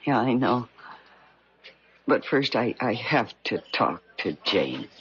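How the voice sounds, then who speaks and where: A woman's voice: a bass voice, very animated, slow pace, slight background noise, exaggerated voice. An actress playing a determined but conflicted young working woman in a 1970s radio drama, earnest, hesitant and dramatic. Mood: conflicted, pained. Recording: muffled old radio drama.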